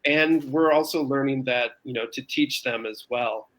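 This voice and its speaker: A man's voice: medium pitch, normal speed, a bit bright, rough recording. A man answering as a guest in an interview, explaining things earnestly in a conversational way. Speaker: interview guest, open space campaigner.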